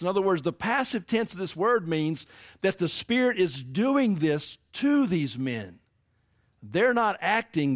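A man's voice: high voice, a bit bright, no echo, studio quality. A man preaching a sermon, teaching emphatically and asking the congregation rhetorical questions. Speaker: pastor.

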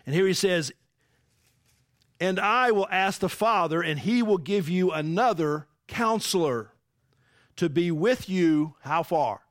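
A man's voice: high-pitched, expressive, slow, no echo, studio quality. A man preaching a sermon, teaching emphatically and asking the congregation rhetorical questions. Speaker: pastor.

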